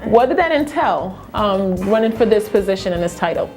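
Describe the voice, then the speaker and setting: A woman hosts a talk show with upbeat energy, cheering on her guest and nodding along aloud. Her pitch sits in the middle, and soft music plays faintly behind her. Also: lively intonation, a little fast, poor audio. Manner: enthusiastic, affirming with frequent mm-hmms.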